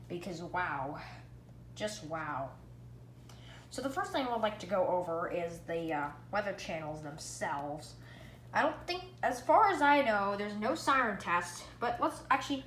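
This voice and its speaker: A female-sounding vlogger giving a casual product review in an excitable way, repeating phrases for emphasis. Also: mid-range voice. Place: indoor room at home. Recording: echoey room, background hiss.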